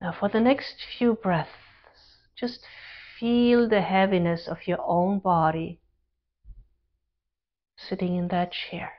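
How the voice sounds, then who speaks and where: A woman leading a guided relaxation meditation as an instructor, speaking calm, gentle instructions in short phrases with pauses between them. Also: lowish voice, highs cut off.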